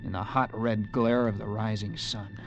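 An actor playing an earnest young cavalry lieutenant in a 1940s radio drama, measured, solemn and dramatic. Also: male voice, fairly low pitch, steady tone, natural voice, rough recording. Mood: reflective, intense. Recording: vintage radio broadcast with music.

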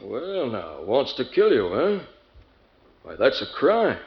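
A man's voice: mid-range voice, wildly swooping pitch, slow, exaggerated voice. An actor playing a jovial, patronizing policeman in an old-time radio drama, with a chuckling, condescending delivery. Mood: amused mockery. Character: jovial, mocking male policeman, amused and patronizing. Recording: echoey, dull old radio recording.

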